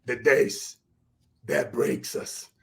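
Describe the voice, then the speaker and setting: A man in his forties with a Brazilian accent, hosting a show and giving emphatic motivational shout-outs. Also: drawn-out words. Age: 40s. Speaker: show host. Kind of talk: motivational interview wrap-up.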